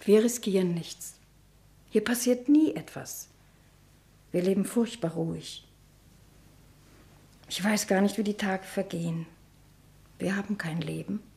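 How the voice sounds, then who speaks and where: A woman's voice: medium pitch, unhurried, creaky, long pauses, warm tone, breathy edge, exaggerated voice. An actress in a German radio drama playing a shy, naive ageing spinster niece, hesitant and plaintive in her speech. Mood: resigned.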